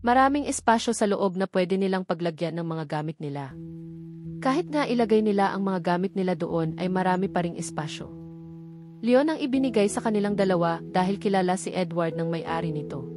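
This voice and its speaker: A woman's voice: on the low side, expressive, fairly quick, music in the background. A woman with a Filipino accent reading a scripted romance novel as an audiobook narrator.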